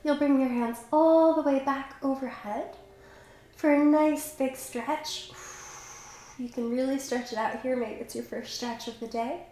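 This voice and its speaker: Young woman leading pilates with a bit of a cold, calm and encouraging, super echoey room. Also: high voice, measured pace. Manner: calm, encouraging, talks while exercising. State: has a cold, slightly stuffy. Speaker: pilates instructor. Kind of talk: guided workout instruction. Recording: very echoey room, faint background noise.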